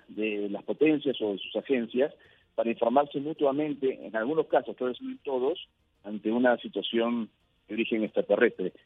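A man from Peru, answering as a radio interview guest in measured, emphatic phrases. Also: medium pitch, husky, no room sound. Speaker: interview guest, UFO researcher. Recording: thin phone-like line.

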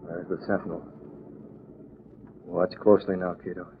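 An actor playing a decisive newspaper publisher and secret vigilante, brisk and authoritative, in a 1940s radio drama. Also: male voice, low voice, little pitch movement, noisy background, lightly acted. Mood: urgent, decisive. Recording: tinny, narrow-band old radio recording.

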